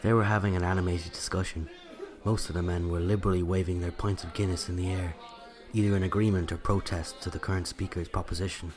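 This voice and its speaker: A man's voice: sits low, steady tone, fast, music in the background, a crowd in the background. A man with an Irish accent narrating a scripted first-person story.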